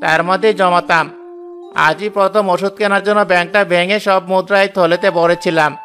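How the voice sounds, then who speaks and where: A man's voice: high-pitched, steady tone, a little fast, a bit bright. A man voicing a brazen, scheming accuser in a cartoon tale, insistent and indignant. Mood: pleading, aggrieved. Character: brazen scheming male accuser falsely claiming a purse. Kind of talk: dramatic cartoon voice acting.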